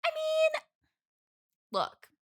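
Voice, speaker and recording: A woman's voice: extremely high pitch, wildly swooping pitch, extremely slow, exaggerated voice. A woman playing an overeager, clingy single bridesmaid, gushing and chatty in an improvised comedy interview. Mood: wistful, needy. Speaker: interview guest.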